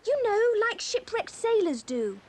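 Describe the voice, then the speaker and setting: A woman playing an anxious, excitable young girl adventurer in a children's audio drama. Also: extremely high pitch, unhurried, exaggerated voice. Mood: worried, reasoning.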